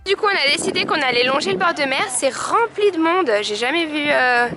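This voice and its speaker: A woman's voice: sits high, lively intonation, brisk. A young woman vlogging casually outdoors, chatty and teasing, laughing often. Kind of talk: casual travel vlog. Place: outdoors at a busy seaside town. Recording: busy street crowd and music.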